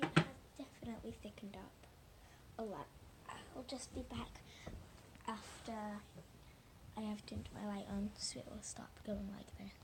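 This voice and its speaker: A woman chatting casually and haltingly through a hands-on demonstration for viewers, with a hoarse, breathy voice that keeps thinning into a whisper. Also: medium pitch. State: hoarse, losing her voice. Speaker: slime video maker talking to viewers. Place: at home indoors. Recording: noisy amateur home recording.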